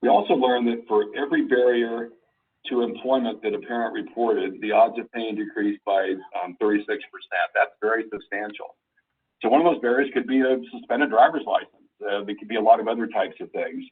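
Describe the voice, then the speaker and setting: A man giving an informal slide presentation over a conference call line, explaining things in a halting, deliberate way. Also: lowish voice, fairly even intonation, slightly rough, far from the mic, phone-quality sound. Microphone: conference call phone line.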